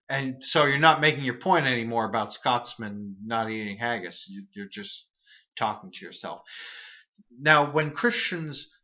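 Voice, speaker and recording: A man explains everyday reasoning mistakes in a relaxed but earnest monologue, often repeating a word as he restarts a thought. His voice is bright and sits at a middle pitch. The recording is dull and lo-fi. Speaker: host of an atheist video series.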